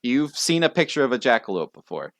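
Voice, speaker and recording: A man's voice: medium pitch, big pitch swings, fairly quick, vocal fry. A man running a tabletop game as game master, casual, swearing and laughing often.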